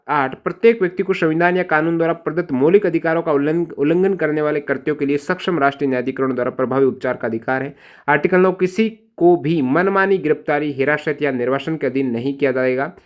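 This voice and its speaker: A man with an Indian accent teaching exam questions in a didactic way, repeating key points and posing rhetorical questions. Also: on the high side. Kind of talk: explanatory lecture on exam questions.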